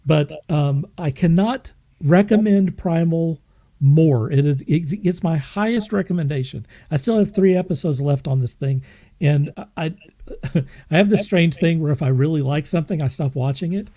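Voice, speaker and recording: A man hosting a podcast, reviewing things in an enthusiastic, casual way, emphatic and effusive with halting repetition. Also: fairly high pitch, dark tone. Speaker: podcast host.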